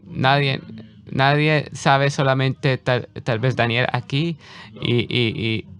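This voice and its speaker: A man interpreting a guest preacher's sermon for a congregation, speaking haltingly with frequent fillers. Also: mid-range voice, fairly even intonation, fairly bright tone, clean tone. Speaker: church interpreter.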